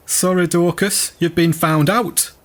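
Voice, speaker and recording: An actor playing an earthy, sarcastic dog owner in an audio drama, husky and bluntly indignant. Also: medium pitch, steady tone, unhurried, close to an everyday voice. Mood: wry, resigned. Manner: blunt, sardonic, rustic speech.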